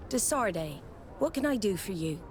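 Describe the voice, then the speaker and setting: Game role, actress: proud female naval commander, firm formal delivery, medium pitch, audible outdoor noise underneath. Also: very animated, moderate pace, natural voice. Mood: vengeful. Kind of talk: video game cutscene acting. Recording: noisy outdoor ambience behind the voice.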